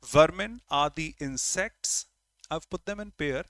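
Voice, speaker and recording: A man's voice: a little high, a little slow, fairly bright tone, no room sound, clean studio sound. A man with an Indian English accent, a Hindi speaker, teaching an online grammar lesson and explaining step by step while repeating key words.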